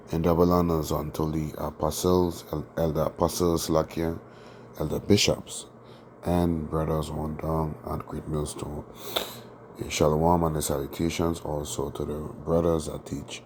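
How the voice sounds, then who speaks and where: A man with a very low, steady voice, hoarse from a sore throat, teaching a scripture lesson. He speaks loosely and conversationally, checking in with his listeners again and again. A faint hiss of noise sits under the recording. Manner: conversational, many fillers and tag questions. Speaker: teacher of a religious group.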